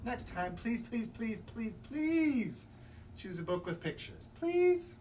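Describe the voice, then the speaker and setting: A man's voice: high-pitched, very animated, slow pace, darkish tone. A man presenting a children's story time in a playful, theatrical way. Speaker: library story time host. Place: public library. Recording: distant mic, faint background noise.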